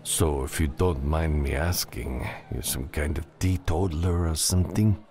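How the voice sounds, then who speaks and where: A man acts out a big, unimpressed barkeep in a space western audiobook. His voice sits very low with a gravelly edge. He talks bluntly and offhand, wary of strangers. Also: moderate pace, a little mellow. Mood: amused, wary. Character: large, unimpressed male saloon keeper, wary and blunt.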